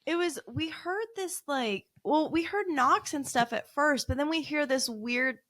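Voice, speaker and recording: A woman with a loud voice, co-hosting a podcast and telling a ghost-hunt story in a lively, laughing way. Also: sits high, measured pace, no room sound. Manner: animated, laughing storyteller who acts out quotes. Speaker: podcast co-host. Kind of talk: casual storytelling chat.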